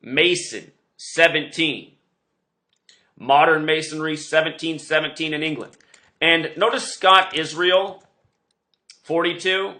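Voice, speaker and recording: A man's voice: fairly high pitch, fairly even intonation, slow pace, long pauses, sharp tone. A man hosting an online show, speaking in a rambling, insistent monologue with emphatic delivery. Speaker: online video host.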